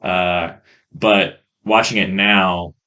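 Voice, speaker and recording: A man's voice: low voice, fairly even intonation, drawn-out words, pushed and bright, lo-fi sound. A man co-hosting a casual film podcast, thinking aloud in a hesitant, conversational way. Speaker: podcast co-host.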